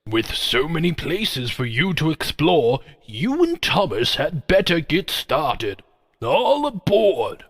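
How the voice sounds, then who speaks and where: Formal, genial railway boss introducing a game, male actor: measured, slow delivery, lively intonation, reverberant hall sound. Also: on the high side, full character voice. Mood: rousing.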